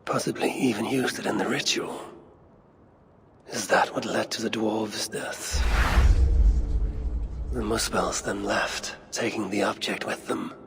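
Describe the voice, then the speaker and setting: An actor playing a shrewd, gruff Norse god in a game cutscene, speaking in a deliberate, sardonic, investigative manner. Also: male voice, on the low side, steady tone, close to an everyday voice. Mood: pensive. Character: shrewd Norse god searching for his son, gruff and resolute. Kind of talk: dramatic game cutscene acting.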